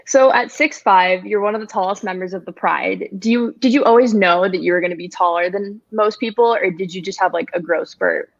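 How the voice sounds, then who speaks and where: A woman's voice: medium pitch, brisk, vocal fry, few pauses, lo-fi sound. A young woman interviewing an athlete on student radio, friendly and chatty with many filler words. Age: young adult.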